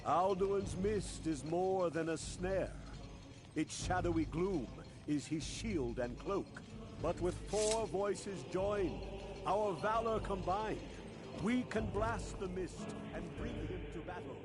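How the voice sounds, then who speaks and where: Resolute warrior of legend, fantasy game. Raised male pitch, deliberate pace, earnest archaic phrasing. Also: over music. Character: resolute, valiant ancient Nordic warrior.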